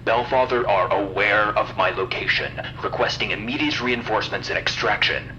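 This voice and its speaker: A man's voice: fairly low pitch, moderate pace, bright, forceful tone, soft background music. A man playing a deputy director who sends an urgent, clipped call for help. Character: deputy director sending a desperate distress call. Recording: muffled, noisy transmission.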